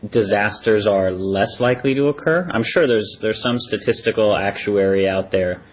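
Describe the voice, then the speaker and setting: Male podcast host, fairly low voice, casual questioning style, slightly muffled recording. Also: normal speed. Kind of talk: casual unscripted interview. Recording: dull, band-limited audio.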